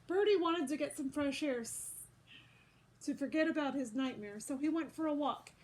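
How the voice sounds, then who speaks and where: A woman's voice: high-pitched, normal speed, darkish tone, low-quality recording. A woman storyteller reading a picture book aloud to children, expressively, addressing listeners directly as she goes.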